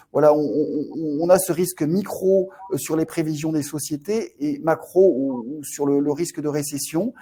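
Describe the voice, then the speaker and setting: A man with a Marseille accent giving market commentary on a webinar, halting often, restarting and repeating words as he explains. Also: a little high, dark tone, slightly rough. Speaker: fund manager.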